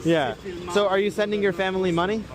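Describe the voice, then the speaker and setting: A man from the United States interviewing strangers on the street, asking plain, steady questions. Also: high-pitched, fast. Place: outdoor city street. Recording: busy outdoor street with crowd.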